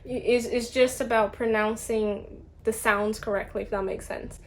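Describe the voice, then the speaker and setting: A woman's voice: on the high side, steady tone, normal speed. A young Brazilian woman, Portuguese her first language, with a British-tinged accent, chatting casually as an English tutor and filling her talk with "like" and restarts. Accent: Brazilian with British influence. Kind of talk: casual talking-head vlog.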